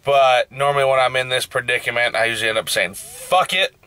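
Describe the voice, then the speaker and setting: A man's voice: medium pitch, steady tone, brisk, sharp tone, smooth, dry recording. A young man, a truck driver, talking in a blunt, profane, casual way from his truck cab to a dashboard camera. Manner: blunt and profane, raised and heated in a rant. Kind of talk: casual vlog talk.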